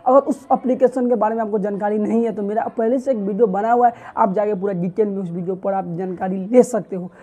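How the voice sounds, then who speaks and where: A man's voice: high-pitched, quick pace, few pauses. A young man hosting an app tutorial, explaining steps earnestly while gesturing with his hands, recorded on a lapel mic in a small home. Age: young adult. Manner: earnest, talks with animated hand gestures. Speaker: tech tutorial host.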